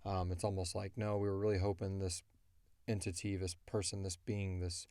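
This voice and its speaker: A man's voice: low-pitched, flat delivery, moderate pace, warm tone, clean tone, no echo. A man chatting casually as a podcast co-host, rambling and informal as he explains things. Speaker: podcast co-host and audio drama creator.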